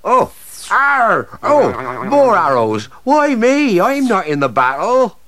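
A man's voice: high-pitched, sing-song, takes it slowly, crowd noise, pitched above the performer's own voice, full character voice. A man voicing a pompous, indignant old male English oak tree, blustering and grumbling in a storybook monologue. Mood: fretful. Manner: blustering, grumbling, swings from lofty to panicky.

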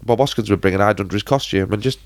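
A man with a fairly low voice, recorded dry and close. He chats casually as a podcast co-host, often repeating himself for emphasis in a bemused way. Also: rapid-fire, no room sound. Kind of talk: casual film discussion.

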